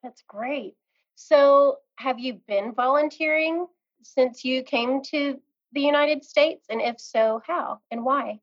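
A woman's voice: high-pitched, takes it slowly, muffled recording. A woman hosting a podcast, asking encouraging, friendly questions in an interview. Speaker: podcast host.

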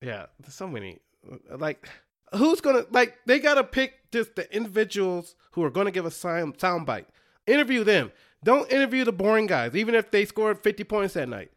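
Man, somewhat high voice, casual sports podcast, laughs a lot, restarts sentences. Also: big pitch swings, fairly bright tone. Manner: laughs often, quick restarts. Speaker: podcast co-host.

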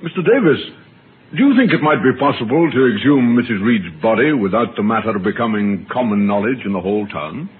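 A man's voice: mid-range voice, expressive, a little fast, close to an everyday voice. Actor as composed policeman, polite, understated, probing, thin old radio sound, heavy hiss. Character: composed, courteous male police inspector. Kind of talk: old-time radio drama acting. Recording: tinny old radio recording, heavy noise.

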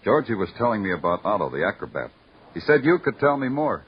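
A man's voice: lowish voice, big pitch swings, quick pace, slightly rough. An actor in a 1950s radio noir playing a terse, world-weary, hard-boiled male police lieutenant. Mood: dry, probing. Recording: noisy, muffled vintage radio recording.

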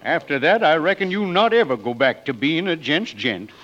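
A man's voice: on the high side, expressive, moderate pace, a bit bright. An actor playing a folksy, blustery frontier townsman with drawling cowboy talk, in 1940s radio drama style. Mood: amused admiration. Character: folksy, excitable Western American frontier townsman. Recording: noisy, dull old radio recording.

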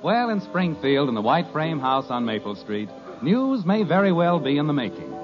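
Polished 1950s radio announcer. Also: male voice, on the high side, big pitch swings, moderate pace. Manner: polished, storytelling delivery. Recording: dull old radio transcription with music.

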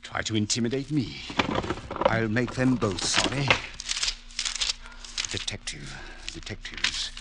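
A man's voice: fairly low pitch, very animated, takes it slowly, pauses often, full character voice. An actor in an old radio drama playing a small, fussy, wealthy middle-aged stepfather who speaks formally, with indignant, precise diction. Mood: muttering, vengeful. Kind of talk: 1950s radio drama acting. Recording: noisy old radio recording with clicks.

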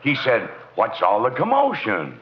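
An actor playing a stolid, well-meaning, awkward police chief in a 1940s radio comedy. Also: male voice, mid-range voice, wildly swooping pitch. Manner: halting, awkward, throat-clearing. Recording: muffled, faintly noisy 1940s radio.

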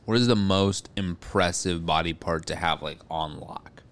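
A man's voice: sits low, measured pace, fairly bright tone, clean tone. A young man co-hosting a podcast, chatting casually in a rambling, self-deprecating, joking way. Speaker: podcast co-host. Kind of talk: casual comedic chat.

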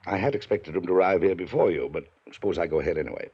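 An actor playing a pompous, domineering wealthy older uncle in a 1950s radio drama. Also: male voice, low-pitched, very fast, breathy edge. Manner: pompous, clipped, rises to angry lecturing. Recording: dull lo-fi 1950s radio broadcast.

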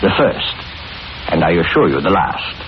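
An actor playing a smugly genial older killer in 1940s radio horror, with a mock-courteous, unctuous manner, on an old broadcast recording. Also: male voice, sits low, very animated, moderate pace, dull audio, background noise. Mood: smug, gloating. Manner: mock-courteous, unctuous, chuckling, repeats himself. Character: sinister, smugly genial older male killer luring young women.

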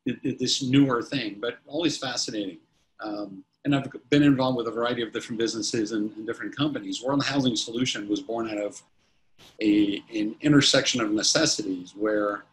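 A man's voice: on the low side, a bit bright, a little hiss or hum, rough recording. A man in his fifties, a company chief executive, answering interview questions casually, rambling with many restarts and fillers. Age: 50s. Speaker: company CEO guest.

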